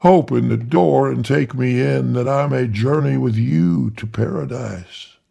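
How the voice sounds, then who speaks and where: Crooked old preacher, played by a man, pleading, loud, husky. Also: mid-range voice, lively intonation, measured pace. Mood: fervent pleading. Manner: pleading, fervent hollering. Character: greedy crooked old male preacher, gullible.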